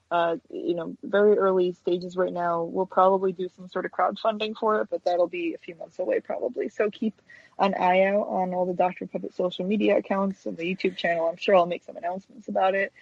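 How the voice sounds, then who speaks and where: A woman's voice: medium pitch, steady tone, fairly quick, creaky, dry recording, lo-fi sound. A woman chatting casually as an interview guest, informal and hesitant, often correcting herself. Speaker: guest, stop-motion animator.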